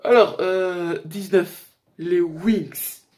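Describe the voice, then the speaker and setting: Young guy doing a youtube list, voice a bit high, jokes around, slangy and rambly. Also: fairly even intonation, drawn-out words, a little mellow. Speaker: YouTube host. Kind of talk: casual chat to camera, countdown list.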